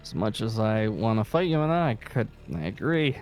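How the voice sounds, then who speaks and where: A man playing a weary, irritable survivor leader in an improvised zombie game roleplay, talking in a halting, rambling, emphatic way. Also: lowish voice, very animated, darkish tone, soft background music. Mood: agitated, exasperated. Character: weary, irritable safe-haven leader secretly seeking a zombie cure.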